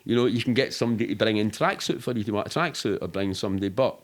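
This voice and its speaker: A man's voice: on the low side, very fast. A middle-aged Scottish man telling stories casually as an interview guest, rambling and sweary in a matter-of-fact way. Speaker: podcast guest.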